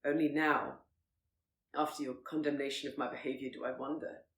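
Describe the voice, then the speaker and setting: A woman voicing a proud, reserved and brooding Regency gentleman who speaks formally and gravely. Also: rumbling low, little pitch movement, normal speed, echoey room, voice pushed down. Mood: pained, reflective.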